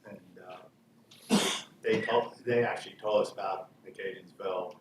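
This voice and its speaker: Guy running a small town meeting, lowish voice, kinda halting, far from mic w faint noise. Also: steady tone. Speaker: village official chairing a meeting. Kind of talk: informal council meeting discussion. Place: meeting room, picked up from a distance. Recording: echoey room, distant mic, faint noise.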